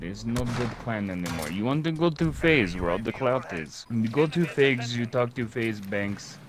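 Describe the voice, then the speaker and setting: A man doing a bragging mock-Russian gamer who speaks of himself in the third person in broken English. The voice sits lowish, and the chat has gunfire and game noise clearly behind it. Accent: put-on Russian.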